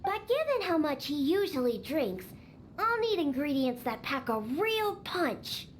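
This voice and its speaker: A woman's voice: high voice, very animated, measured pace, slight background noise. A woman voicing a bossy, exasperated young cat-girl daughter in a video game, scolding and matter-of-fact. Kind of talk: video game character acting. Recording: faint game music behind the voice. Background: game music.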